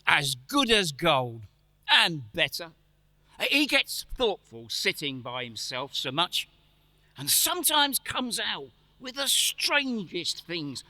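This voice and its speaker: Doting Victorian clerk dad male actor: animated, unhurried, effusive and tender, close dry sound, radio drama. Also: sits high, no room sound, full character voice. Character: warm-hearted, poor Victorian clerk and doting father. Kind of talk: stage radio play acting. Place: church, live performance.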